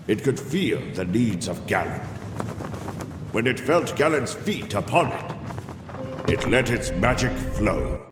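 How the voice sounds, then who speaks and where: A man playing a patient storyteller, narrating a knight's adventure for a video game in a measured, dramatic way. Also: mid-range voice, slight background noise. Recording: long reverb, music underneath.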